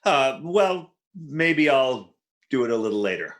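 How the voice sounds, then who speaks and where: A man's voice: mid-range voice, big pitch swings, a little slow. A man playing a jealous, dry, exasperated and boastful husband in a 1940s-style radio comedy, in an echoey room. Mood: boastful, then deflated.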